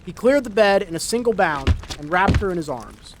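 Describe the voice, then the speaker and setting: A man's voice: sits high, expressive, normal speed, background noise. A man reading a scripted story as a dramatic narrator. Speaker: narrator, reader. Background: story sound effects.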